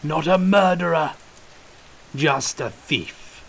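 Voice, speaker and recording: A man voicing an injured, commanding and irritable military captain with a strained rasp, in an audiobook story. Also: on the high side, slow, a little hiss or hum, voice pushed up. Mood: weary, scornful. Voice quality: raspy, strained. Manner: imperious, threatening.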